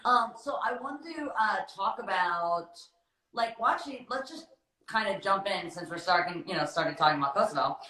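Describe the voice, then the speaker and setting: A woman's voice: medium pitch, expressive, normal speed, pushed and bright. A young woman chatting on a phone livestream, asking loose, rambling questions. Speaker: guest interviewer on a livestream. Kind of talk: casual interview chat. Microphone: phone on a video livestream. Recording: echoey room over a phone.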